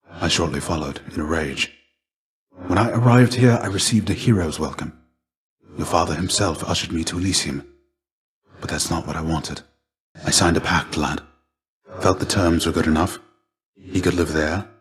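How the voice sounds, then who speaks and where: A man playing a gentle, melancholy ancient Greek warrior shade and mentor in a video game, speaking in a measured, formal, soft-spoken way. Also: very deep voice.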